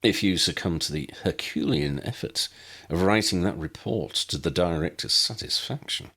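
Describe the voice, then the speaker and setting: A man's voice: low-pitched, normal speed, sharp tone, heavily acted. A man voicing an articulate, wry advisor in an audiobook, speaking in a wordy, formal manner. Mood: dryly witty.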